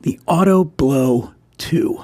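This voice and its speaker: A man with a scratchy voice, a guest on a podcast in a studio, trading deadpan dark jokes in banter. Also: mid-range voice, slow pace. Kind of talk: joking banter.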